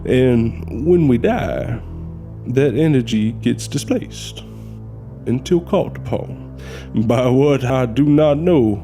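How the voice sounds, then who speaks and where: An actor playing a folksy Southern mortician and spirit medium in an audio drama, courteous and hesitant with drawn-out phrasing. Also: male voice, medium pitch, expressive, dark tone. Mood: solemn. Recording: music under the voice.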